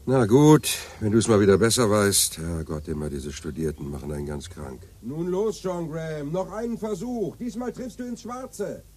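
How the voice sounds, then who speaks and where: An actor in a radio drama playing a gruff, impatient working man who grumbles and urges others on. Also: mid-range voice, sing-song, normal speed, a little mellow.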